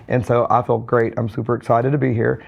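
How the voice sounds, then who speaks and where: A hoarse man recovering from a cold, co-hosting a podcast in a relaxed, rambling way. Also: medium pitch, brisk. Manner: relaxed, rambling with many fillers and restarts. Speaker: podcast co-host, physician. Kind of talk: casual podcast chat. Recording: slightly dull lo-fi sound.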